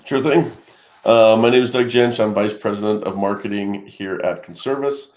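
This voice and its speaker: Deep-voiced marketing executive. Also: male voice, normal speed, echoey room, muffled recording. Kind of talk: webinar introduction. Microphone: video call.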